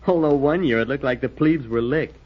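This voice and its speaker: A muffled old radio recording of a keen young cadet acted by a man. He talks very quickly, with boyish, emphatic earnestness. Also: mid-range voice, natural voice. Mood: keen, insistent. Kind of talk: old-time radio drama acting.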